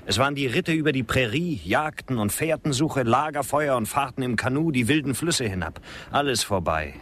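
An actor in a German radio drama playing a worldly, detached gentleman who lectures politely. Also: medium pitch, animated, normal speed. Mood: coolly resigned. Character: worldly, matter-of-fact gentleman champion of progress.

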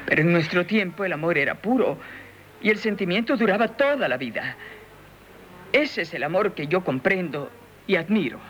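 A woman's voice: on the low side, big pitch swings, moderate pace, a bit bright, a little hiss or hum. An actress playing an anxious, morally strict aristocratic mother in a 1970s radio drama, speaking formal, theatrical with a lamenting manner. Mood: anxious, insistent.

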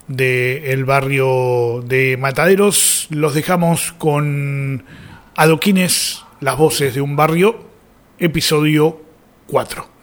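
A man with a Rioplatense Argentine accent presenting and commenting on live radio, reflective and pausing mid-sentence. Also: on the high side, a bit bright. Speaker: radio host.

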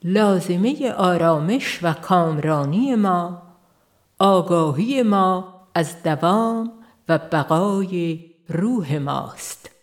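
A woman narrating a spiritual book in a measured, reverent manner. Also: lowish voice, lively intonation. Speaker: audiobook narrator. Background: music.

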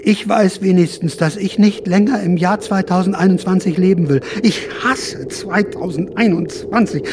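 A man's voice: high-pitched, normal speed, background noise, lightly acted. A man in a radio drama playing an aging, paranoid, defiant storyteller who rambles with dramatic emphasis. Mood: bitter, determined. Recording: music behind the voice.

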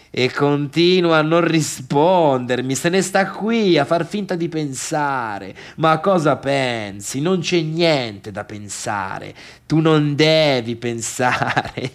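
A man playing a brash, foul-mouthed friend in a stage monologue, insistent and taunting, stretching phrases for emphasis. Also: on the high side. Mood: mocking, goading.

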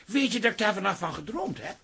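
An actor playing a fussy, anxious, grumbling café-owner husband in a radio comedy drama, fretful in manner. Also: male voice, high-pitched, very animated, quick pace, vocal fry, sharp tone, a little hiss or hum, exaggerated voice. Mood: grumbling, worried.

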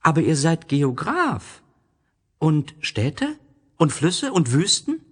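A small, innocent prince acted out by a man in a storybook audio play. The voice sits well up in pitch and swings freely, asking everything with earnest, childlike wonder. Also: huge pitch swings, slow pace, husky, full character voice. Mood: disappointed. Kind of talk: storybook audio drama acting.

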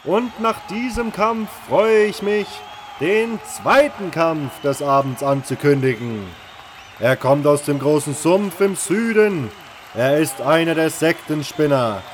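A man playing a burly, grandiose arena announcer in an audio drama, proclaiming in a bombastic, drawn-out manner. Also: on the high side, a little hiss or hum, natural voice. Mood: grandiose, rousing. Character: burly male arena announcer, grandiose showman working the crowd. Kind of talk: fan audio drama acting.

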